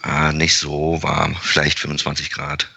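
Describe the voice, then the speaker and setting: A man's voice: extremely low pitch, monotone, measured pace, gravelly, bright, forceful tone, slightly rough, muffled recording. A young man just out of university, a podcast guest on a video call, talking in an easygoing way. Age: young adult. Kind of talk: casual interview.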